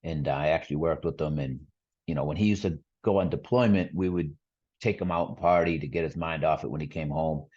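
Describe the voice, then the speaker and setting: A man in his forties from the Boston area with a Boston accent, a podcast guest telling his story in a relaxed, chuckling way. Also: rumbling low, brisk, husky, muffled recording. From Boston area, USA. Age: 40s. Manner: relaxed, chuckling, many fillers. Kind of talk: casual storytelling.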